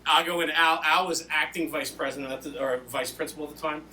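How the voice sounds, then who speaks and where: A man's voice: a little high, steady tone, fast talker, sharp tone, far from the mic, a little hiss or hum. A man hosting an interview, telling personal anecdotes in a casual, colloquial way. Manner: casual, colloquial storytelling with reenacted dialogue. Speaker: podcast host and volunteer firefighter.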